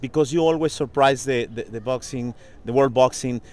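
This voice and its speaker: Effusive interviewer, native Spanish speaker. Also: male voice, medium pitch, moderate pace. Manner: emotional, effusive, laughs often. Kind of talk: interview questions.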